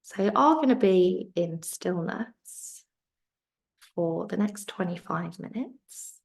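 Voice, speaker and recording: A woman leads a guided relaxation in an unhurried, gently lowered voice. Her tone is soft and soothing, with a gravelly fry under the words, and at times she drifts into a whisper. It sounds like it was picked up over a video call. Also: expressive. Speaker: yoga nidra teacher.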